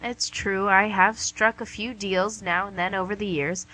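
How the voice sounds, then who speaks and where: Devil for radio comedy, played by a woman: bright, forceful voice, smug salesmanship, teasing rhetorical questions. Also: mid-range voice, fairly even intonation, a little slow, rough recording. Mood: chatty, persuasive. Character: the Devil, wheeling-dealing, smug, teasing tempter. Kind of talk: radio play comedy acting.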